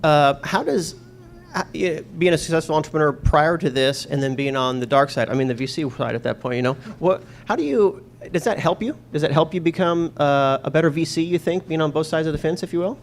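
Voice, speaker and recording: A man's voice: fairly high pitch, brisk, a little hiss or hum. A male host asking a long, rambling question that keeps correcting itself. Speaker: host, interviewer.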